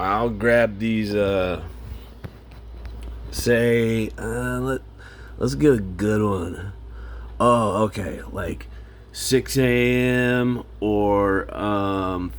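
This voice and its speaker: A man in his forties, hoarse from singing, rambling in an unscripted vlog from his home computer setup. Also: on the low side, a little hiss or hum. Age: 40s. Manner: rambling, loose, self-interrupting. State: raspy from singing. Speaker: vlogger. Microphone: home studio mic through audio interface.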